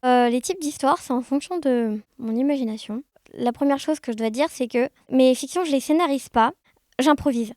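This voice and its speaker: A woman's voice: high-pitched, moderate pace, darkish tone, no room sound. A woman answers in a casual interview. She talks informally and with animation, in a very dry recording. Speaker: interview guest, amateur audio fiction maker.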